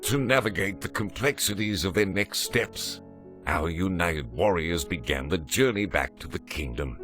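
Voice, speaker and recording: A man's voice: sits low, expressive, moderate pace, fairly bright tone. A man delivering scripted narration for a fantasy game story. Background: music.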